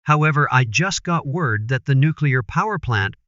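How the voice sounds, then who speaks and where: A man's voice: mid-range voice, expressive, moderate pace, fairly bright tone, dry recording, dull audio, close to an everyday voice. A man playing a TV news reporter, narrating a scripted report in a grave, formal manner.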